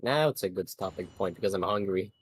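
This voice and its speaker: A man's voice: low voice, animated, quick pace, a little hiss or hum. A man chatting casually as a guest player on a livestream. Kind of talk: casual chat.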